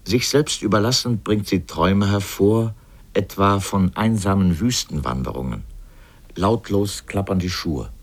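A man's voice: on the low side, expressive, measured pace. A man delivering scripted literary commentary for radio in an erudite, formal and ironic manner. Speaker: essayist narrator in a radio feature.

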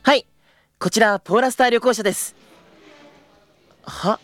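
A man's voice: sits high, normal speed, fairly bright tone, no echo, faint music behind. A young man in his twenties voicing an earnest new trainee who narrates and answers politely, a little flustered, in an audio drama. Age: 20s. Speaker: trainee tour guide, narrator and lead.

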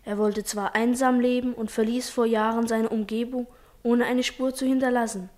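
An actress playing a thoughtful schoolboy in a 1950s radio play, earnest and soft-spoken. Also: female voice, on the high side, fairly even intonation, moderate pace, natural voice. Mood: confiding. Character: thoughtful schoolboy dreaming of a better future.

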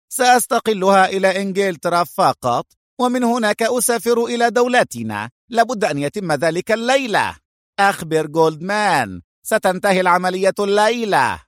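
Guy doing angry little spymaster in an audiobook, pitched up high, bossy, scornful, very animated. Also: no echo, studio quality. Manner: shouting, imperious, scornful. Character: short angry spy chief, bossy, furious, impatient man. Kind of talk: dramatized audiobook villain.

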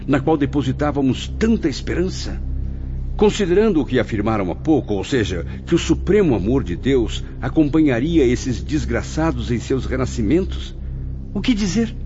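A man's voice: medium pitch, lightly acted. A man playing an anguished, questioning lost spirit in a radio drama, pleading theatrically in formal language. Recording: dull lo-fi audio under music.

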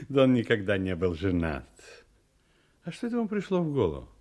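An actor playing an affable, chatty chief mate of a sailing ship in an audio drama, conversational and gossipy. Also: male voice, fairly low pitch, huge pitch swings, normal speed, darkish tone. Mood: amused, curious.

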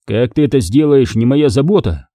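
A man's voice: medium pitch, expressive, moderate pace, warm tone, no echo, clean studio sound, natural voice. A man playing a cold-blooded, mocking thug in an audiobook, taunting and domineering as he interrogates.

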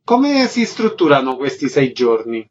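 A man's voice: mid-range voice, sing-song, unhurried, breathy edge, dull audio. A man hosting a radio show, reading scripted announcements and putting questions to a guest. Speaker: radio host.

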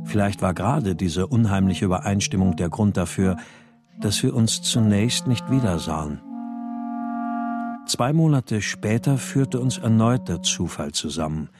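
An actor playing a melancholy husband and writer who narrates a radio crime drama in a restrained, introspective way. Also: male voice, on the low side, expressive, a little slow, over music. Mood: restrained, brooding. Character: melancholy husband and writer narrating his missing daughter's story.

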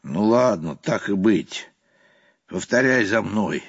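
Man putting on a stern male monk, slow, solemn, immovable; husky voice, dry and slightly muffled. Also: lowish voice, animated, pitched above the performer's own voice, exaggerated voice. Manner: slow, solemn, unbending repetition.

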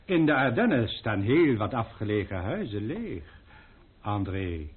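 An actor playing a courteous, wry manservant in an old radio play, polite and slightly formal, with a husky voice. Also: male voice, on the low side, big pitch swings, unhurried, exaggerated voice. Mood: wry, resigned. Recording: narrow-band old radio recording.

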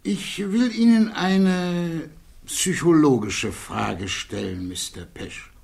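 An actor playing a defence attorney in a radio play, putting pointed, deliberate questions in cross-examination. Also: male voice, fairly high pitch, vocal fry, darkish tone, breathy edge, full character voice. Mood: probing.

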